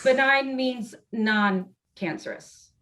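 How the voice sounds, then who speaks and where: Woman as patient doctor, clinical, measured, fairly high voice, explanatory, stage-play reading. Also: fairly bright tone. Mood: professional, patient. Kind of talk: live play reading over video call. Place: indoors, remote video call performance.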